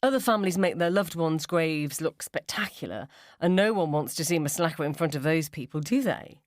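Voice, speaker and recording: Lowish woman telling a story, wry and chatty, voice moves around a lot, really dry recording. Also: animated, fairly quick, dry recording. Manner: wry, conversational, sardonic. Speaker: story narrator. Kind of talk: dramatic first-person short story reading.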